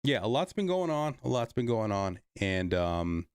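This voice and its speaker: A man's voice: fairly low pitch, big pitch swings, moderate pace, heavy background noise. A man from the Bay Area, hosting a casual livestream, reading chat and rambling with many fillers and restarts. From Bay Area, California.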